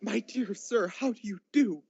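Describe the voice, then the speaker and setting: A man playing a giddy, generous reformed old miser in a radio play, stammering a little in excitement. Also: sits high, a little slow, gravelly, slightly rough, exaggerated voice. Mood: giddy, eager. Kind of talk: school radio drama acting. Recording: dull lo-fi home recording.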